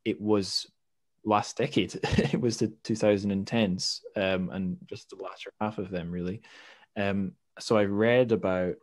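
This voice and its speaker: Low-voiced man hosting, rambling questions. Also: fairly even intonation, unhurried, a little mellow, dry recording. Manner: thoughtful, rambling, self-correcting. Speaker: podcast host. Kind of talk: reading questions in an interview.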